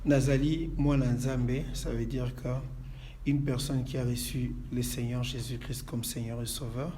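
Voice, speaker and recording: Mellow, measured Congolese-born pastor. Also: male voice, mid-range voice, fairly even intonation, a little hiss or hum. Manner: measured, preacherly. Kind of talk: calm interview answers and prayer. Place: inside a church.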